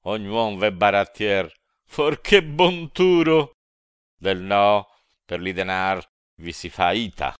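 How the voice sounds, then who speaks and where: Man shouting as a fierce gloating devil. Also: fairly low pitch, sing-song, slow, clean tone, no echo, studio quality, exaggerated voice. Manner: shouting, drawn out, declaiming.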